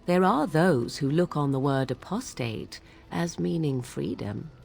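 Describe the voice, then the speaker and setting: Creaky, low-voiced haughty witch of the wilds. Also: lively intonation, measured pace, darkish tone, a little hiss or hum, exaggerated voice. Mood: firm, guarded. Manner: archaic, precise, aloof diction. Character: haughty young apostate witch, a shapeshifter of the wilds. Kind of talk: video game dialogue acting.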